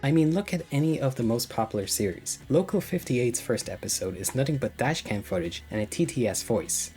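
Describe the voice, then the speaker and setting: A man's voice: medium pitch, lively intonation, fairly quick, darkish tone, soft background music. A man narrating a scripted video essay as a commentator, critical and sarcastic in tone. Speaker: YouTube commentator.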